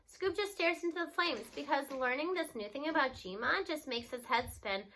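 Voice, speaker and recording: A woman giving an expressive read-aloud of a children's novel. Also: sits high, normal speed.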